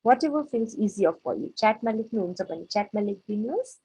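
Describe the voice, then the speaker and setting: A woman with a Nepali accent and a markedly husky, unclear voice moderates informally. Her pitch is average and she talks quickly as she directs an online question session. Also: expressive, mellow, rough recording.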